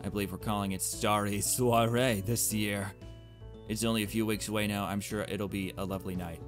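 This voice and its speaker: Man voicing a low-pitched, prim headmistress. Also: fairly even intonation, brisk, soft background music, natural voice. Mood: cheerful, welcoming. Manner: prim, formal, over-polite. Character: polite, chirpy creature headmistress with veiled menace.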